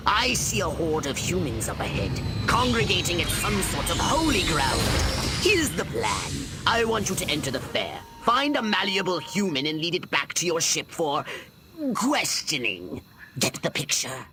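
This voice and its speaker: An actor voicing a haughty, theatrical alien commander in a video game, lecturing like a scheming imperial mastermind. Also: male voice, fairly high pitch, very animated, normal speed, music in the background. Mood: bossy, impatient. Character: scheming, pompous, exasperated alien commander of a doomed empire. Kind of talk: video game cutscene voice acting.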